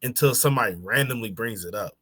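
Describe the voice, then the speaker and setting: A man's voice: lowish voice, fast talker, a bit bright, breathy edge. Young man with a lisp, casual. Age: young adult. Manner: laid-back storytelling, says bro a lot. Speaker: podcast co-host. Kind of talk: casual chat.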